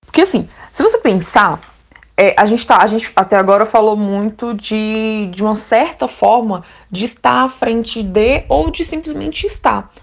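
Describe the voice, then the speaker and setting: A woman's voice: on the high side, expressive, normal speed, gravelly. A woman explaining a point in informal discussion, repeating words for emphasis.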